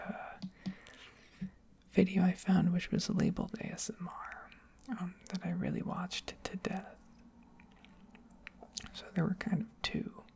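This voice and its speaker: Young woman, breathy whisper, creaky edges, soft-spoken ASMR, reflective, hesitant, wandering. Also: fairly low pitch. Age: young adult. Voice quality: breathy, mostly whispered, occasionally cracking. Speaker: ASMR video creator. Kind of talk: soft-spoken ASMR, rambling personal talk.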